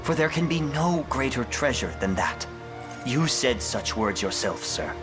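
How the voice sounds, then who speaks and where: An actor playing a loyal, hopeful young knight in a fantasy video game, with courteous, formal archaic speech. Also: male voice, medium pitch, very animated, a little slow, over music. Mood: earnest, encouraging.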